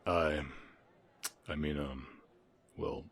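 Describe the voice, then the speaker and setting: A man playing a kind, protective, shy orc ranch hand with a Southern US accent, speaking gently and plainly in an intimate roleplay. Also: very deep voice, fairly even intonation, extremely slow, exaggerated voice. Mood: tender, hesitant. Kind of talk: intimate ASMR romance roleplay.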